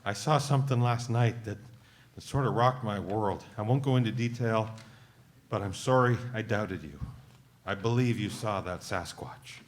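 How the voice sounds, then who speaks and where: A man's voice: fairly low pitch, normal speed, close to an everyday voice. A middle-aged man playing a gullible, earnest small-town everyman at a live cartoon table read, with emphatic comic delivery. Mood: earnest, stunned. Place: theatre stage before an audience. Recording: echoey stage microphone, audience noise.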